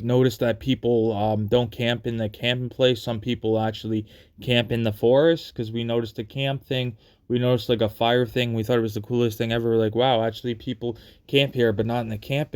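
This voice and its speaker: A man with a clean, smooth voice tells stories casually. His pitch stays flat and fairly narrow as he rambles along, halting and circling back over the same phrases. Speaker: storyteller. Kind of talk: casual personal storytelling.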